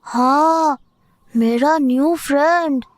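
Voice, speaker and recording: An earnest little boy played by a woman in an audio horror drama. He speaks slowly in a very high, childlike voice and insists on his story.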